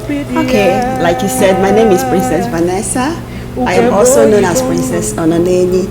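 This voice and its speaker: A woman in her thirties, an interview guest, speaking in an earnest, measured and reflective way. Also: mid-range voice, animated, over loud music. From Nigeria. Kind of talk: conversational interview.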